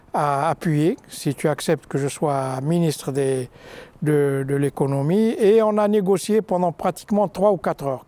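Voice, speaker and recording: Older former minister, interview recall, halting delivery, dry close recording. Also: male voice, high-pitched, dark tone, no room sound. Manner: halting, many fillers and restarts. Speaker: former minister recounting his career. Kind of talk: interview, recounting his career.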